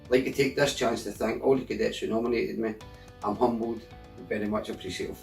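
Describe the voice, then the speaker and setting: A man volunteer records an earnest, modest thank-you for an award. His voice sits fairly low. The room is echoey, and faint music plays behind him. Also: fairly even intonation, fast talker, creaky, a little mellow. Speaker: award winner, sea cadet volunteer.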